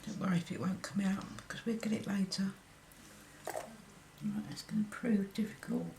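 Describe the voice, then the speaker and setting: A woman with a croaky voice talking through a card-making tutorial, murmuring and often dropping to a whisper as she works. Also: mid-range voice, fairly even intonation. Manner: slow, half-murmured commentary while working, often dropping to a whisper. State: croaky voice from illness. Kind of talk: hands-on craft tutorial.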